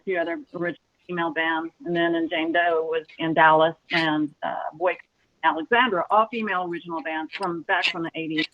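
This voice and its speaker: A woman's voice: on the low side, muffled recording, a little hiss or hum. A woman drummer from Texas with a slight Southern accent, talking in an enthusiastic, rambling way. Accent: slight Southern American. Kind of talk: casual interview.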